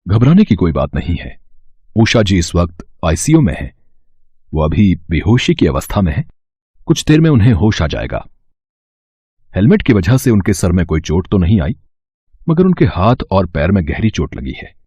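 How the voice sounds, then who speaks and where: A man voicing a reassuring hospital doctor who explains things in a measured, matter-of-fact way. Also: sits low, sing-song, mellow, breathy edge, no room sound, pitched below the performer's own voice, exaggerated voice. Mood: calm, reassuring.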